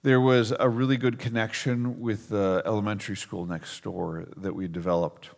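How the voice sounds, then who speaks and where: Gravelly preacher in a reverberant hall. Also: male voice, on the low side, little pitch movement, normal speed. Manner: conversational preaching with emphatic stresses. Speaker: pastor. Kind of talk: sermon.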